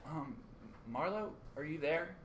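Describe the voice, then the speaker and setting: A man's voice: a little high, measured pace, crowd noise, natural voice. A man playing an earnest, puzzled radio news co-anchor in an amateur radio play, with a hesitant, questioning manner. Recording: faint background noise and ambience.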